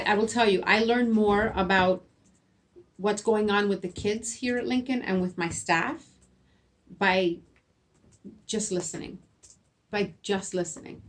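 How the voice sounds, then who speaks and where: A woman's voice: mid-range voice, a bit bright. A middle-aged school principal, a woman whose first language is Spanish, speaking earnestly and at length. She circles back on her words and checks in with "right?" in a mid-range voice. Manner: earnest, repeats herself, frequent "right?" tags. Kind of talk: interview answers.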